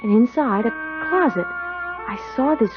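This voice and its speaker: Timid young girl, high-pitched. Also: lively intonation, unhurried. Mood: wistful recounting. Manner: earnest, hesitant, polite. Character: timid, curious young country girl recalling her story. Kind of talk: 1970s radio drama acting, first-person narration and dialogue. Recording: dull radio recording with music.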